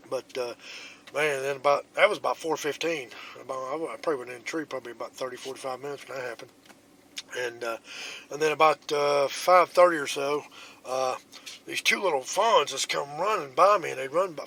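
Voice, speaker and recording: A man, filming a hunting vlog on his phone outdoors, telling his story in an excited ramble and switching to a hushed whisper up in the tree stand. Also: a little high, a little hiss or hum. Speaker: bowhunting vlogger. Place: outdoors in woods.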